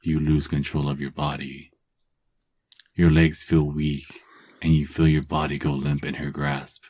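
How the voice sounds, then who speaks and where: Very deep, husky male narrator. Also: measured pace. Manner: calm, drifting into hushed whispers. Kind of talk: second-person ASMR story narration.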